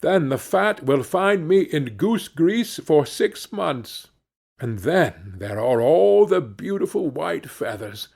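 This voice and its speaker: A man's voice: a little high, sing-song, slow, gravelly, darkish tone, heavily acted. A man voicing a cheerful, naive young peasant lad in a fairy tale, earnest and full of exclamation. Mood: smugly pleased. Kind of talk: fairy-tale storybook character reading.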